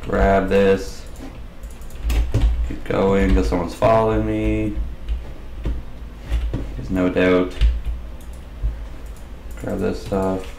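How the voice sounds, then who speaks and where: A man with a slightly raspy voice giving casual commentary over his gameplay, mumbling asides as he plays. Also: low voice, level tone, extremely slow, long pauses, darkish tone, roomy sound, slight background noise. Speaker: gaming channel host.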